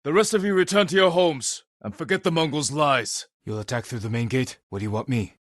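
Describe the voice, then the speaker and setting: A man playing a stoic, honorable samurai warrior in a video game, husky and measured, with terse, solemn delivery. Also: medium pitch, a bit bright, no room sound, studio quality, natural voice. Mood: firm, urgent, then grave, resolute. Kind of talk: video game dramatic voice acting.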